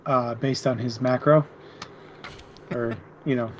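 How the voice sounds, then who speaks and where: A man of medium pitch talks slowly and casually about a book, pausing to find his words. The recording is dull and lo-fi, with faint noise behind him. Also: steady tone. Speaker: book club podcast co-host.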